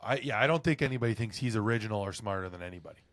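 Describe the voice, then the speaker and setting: A man's voice: lowish voice, fairly bright tone, no echo. Teasing young man, dry close sound. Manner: teasing, quick incredulous reactions. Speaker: podcast co-host. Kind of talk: casual crude banter.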